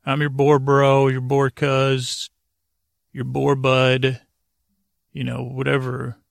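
A man hosting a bedtime podcast, meandering and halting as he rambles, often trailing off mid-thought. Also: mid-range voice, monotone, creaky, dry recording, studio quality. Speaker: podcast host.

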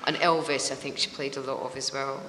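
Bright-voiced Scottish woman, chatty. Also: a bass voice, fairly even intonation, fairly quick, a little hiss or hum. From Scotland. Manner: chatty, laughs often, acts out voices in anecdotes. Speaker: guest. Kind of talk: casual interview chat. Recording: roomy hall reverb with faint ambience.

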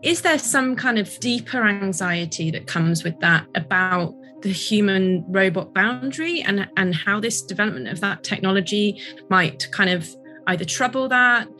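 A woman's voice: mid-range voice, lively intonation, normal speed, sharp tone, soft background music. A woman interviewing in a thoughtful conversation, phrasing her questions in a tentative, exploratory way.

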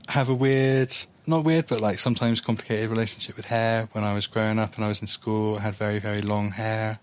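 A young man thoughtfully telling an interviewer about his own history, measured in pace with the odd frank aside. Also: fairly low pitch, fairly even intonation. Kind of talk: reflective personal account.

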